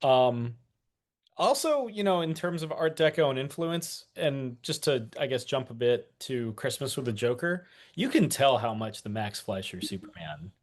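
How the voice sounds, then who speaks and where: A man co-hosting a podcast in casual chat, with loose, rambling asides. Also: mid-range voice. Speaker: podcast co-host.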